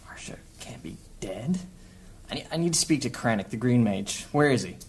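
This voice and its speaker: A woman's voice: a bass voice, lively intonation, moderate pace, slightly rough, full character voice. A woman playing a proud, battle-hardened adventurer in a fantasy audio drama, blunt and formal in speech. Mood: shocked, insistent. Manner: blunt, insistent, formal phrasing.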